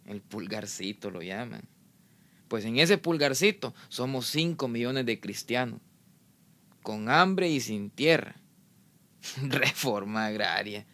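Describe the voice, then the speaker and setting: An aggrieved Salvadoran farmer in a radio drama. His mid-range voice is sharp and bright. He talks with a Salvadoran accent, leaning on folksy irony and rhetorical questions. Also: mid-range voice, expressive, slow, long pauses, full character voice. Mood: wry. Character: sardonic, aggrieved Salvadoran peasant man.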